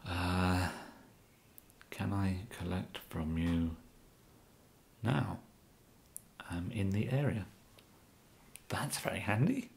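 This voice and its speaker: A young man with an English accent in a soft-spoken ASMR comedy roleplay, hesitant and mumbling, as a bumbling, polite amateur kidnapper. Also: sits low, slow pace, creaky, pauses often. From England. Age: young adult. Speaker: ASMR performer.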